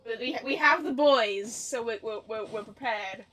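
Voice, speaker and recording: Bright, high-voiced woman streaming a game. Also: unhurried. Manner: chatty, rambling, playful, swears casually. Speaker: livestreamer. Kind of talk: casual gaming stream chat.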